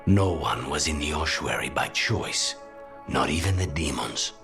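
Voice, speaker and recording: An actor playing a weary, dry, demon-possessed assassin in a video game, low and breathy, speaking in a measured, understated way. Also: male voice, animated, over music, heavily acted. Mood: brooding, guarded. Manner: measured, understated, dryly menacing. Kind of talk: video game dialogue acting.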